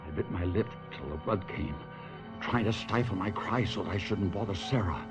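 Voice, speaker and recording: An actor playing an anguished stage performer and husband in an old-time radio drama, husky and theatrical. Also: male voice, deep voice, animated, normal speed, music in the background. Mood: haunted narration. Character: despairing, tormented middle-aged stage ventriloquist husband. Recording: muffled vintage radio drama recording.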